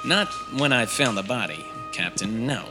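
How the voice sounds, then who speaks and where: A bumbling, jittery amateur detective performed by a man with a mid-pitched voice. The speech stammers and dodges, radio-parody style, with music clearly playing behind. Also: lively intonation, moderate pace, close to an everyday voice. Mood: flustered. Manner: stammering, evasive. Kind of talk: comedic parody of classic radio play.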